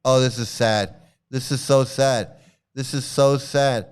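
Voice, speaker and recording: A man's voice: medium pitch, steady tone, slow, faint music behind. A man hosting a casual reaction video, laughing often and repeating himself as he talks. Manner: laughs often, repeats phrases, stammering restarts.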